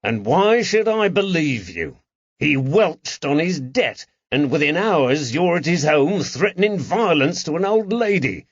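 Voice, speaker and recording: A man voicing a gruff, furious police constable who accuses and interrogates in an audiobook. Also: a little high, big pitch swings, a little slow, dry recording, lo-fi sound, pitched above the performer's own voice, full character voice. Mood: furious, accusing. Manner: stern, accusing, barking. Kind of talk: audiobook character acting.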